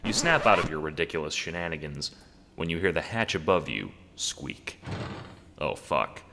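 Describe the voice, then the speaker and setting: Deep, animated male narrator with long reverb. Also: normal speed, vocal fry, soft background music. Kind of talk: scripted second-person audio drama narration.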